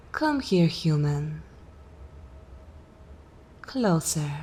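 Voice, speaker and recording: A woman playing a seductive, cruel and jaded vampire mistress in a dark fantasy ASMR roleplay, speaking breathily in slow, commanding, theatrical phrases. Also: mid-range voice, natural voice. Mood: bored, commanding. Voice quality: breathy whisper.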